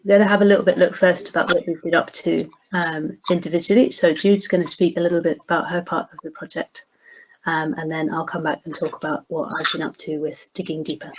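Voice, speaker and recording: A woman from the West Highlands of Scotland giving a prepared online presentation over a video call. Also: level tone, brisk. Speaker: presenter, playwright. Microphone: video call microphone. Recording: dull video call with background noise.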